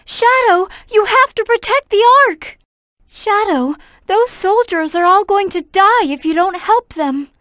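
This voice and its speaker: A woman voicing a gentle, earnest young girl in a video game, caring and polite in the way she talks. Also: extremely high pitch, no room sound, clean studio sound. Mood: alarmed, pleading, then earnest, concerned.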